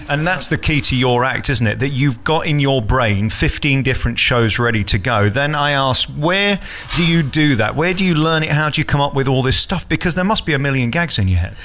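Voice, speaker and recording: A male radio interviewer with a forceful, bright voice and a very quick delivery. He is effusive and complimentary, chatting with a star backstage at a theatre. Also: mid-range voice, lively intonation, slight background noise.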